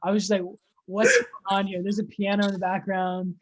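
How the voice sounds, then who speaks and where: A young man with a notably high voice hosts an informal interview. He chats in relaxed slang and sounds appreciative of the person he is talking to. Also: fairly quick, slight background noise, low-quality recording. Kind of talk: casual interview chat.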